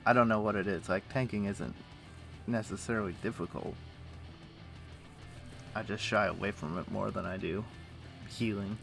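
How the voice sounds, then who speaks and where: Low-voiced man chatting casually on a game stream. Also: fairly even intonation, moderate pace, vocal fry, long pauses, fairly bright tone, faint music behind. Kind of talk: casual chat while playing an online game.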